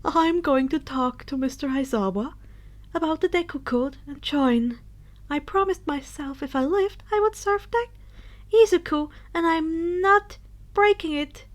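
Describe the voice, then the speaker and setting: A woman's voice: high voice, measured pace. A woman voicing a panicky, timid teenage boy prankster in a story, with an overwrought, hesitant manner. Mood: resolute.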